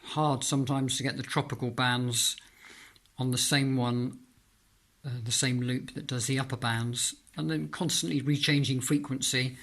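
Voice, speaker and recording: A man with a mid-pitched voice talks through a homemade hobby project in an informal video. His delivery is hesitant and piecemeal, with frequent pauses as he explains things. He is speaking indoors in a flat. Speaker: amateur radio hobbyist presenting own homemade antenna. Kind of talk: informal product demonstration vlog.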